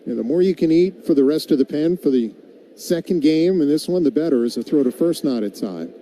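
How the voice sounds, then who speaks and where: An American man calling baseball play-by-play on the radio, mixing live pitch calls with casual banter. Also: lively intonation, brisk, mellow, a little hiss or hum.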